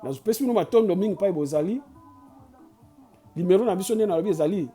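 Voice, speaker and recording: Congolese-accented channel host, earnest sermon-like talk, warm dark timbre, soft music bed. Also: medium pitch. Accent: Congolese French. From Kinshasa, Congo. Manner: preachy and urging, with frequent restarts and stammers. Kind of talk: impassioned address mixing Lingala and French.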